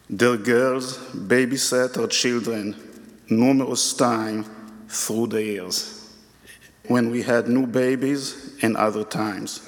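Slow, earnest man in a reverberant church. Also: medium pitch. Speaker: church member giving a eulogy. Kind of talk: prepared memorial tribute. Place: church sanctuary. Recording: reverberant hall.

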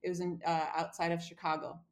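Romania-born woman, lowish voice, chatty storytelling with lots of uhs, video call interview. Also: level tone, quick pace. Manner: storytelling, many fillers and restarts. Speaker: cookbook author guest. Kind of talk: casual interview conversation.

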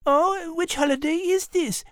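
A chirpy, teasing lady dog co-hosts in comic banter. Her voice is very high and sounds dry and close. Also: a little slow, no room sound. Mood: chirpy, puzzled. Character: chirpy, teasing female French dog co-host. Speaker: host. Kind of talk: scripted comic character banter.

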